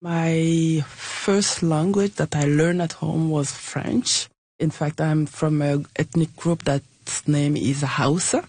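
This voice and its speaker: A woman's voice: low voice, steady tone, slow, darkish tone, slightly rough, no echo. A woman from Niger, a guest in an interview, talking casually and hesitantly with many restarts and fillers. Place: Quebec.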